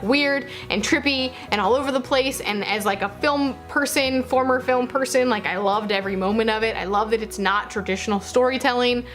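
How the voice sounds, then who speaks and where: High-voiced woman chatting casually about herself. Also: fairly bright tone. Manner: informal, effusive, self-deprecating. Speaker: book vlogger. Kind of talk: casual chat to camera.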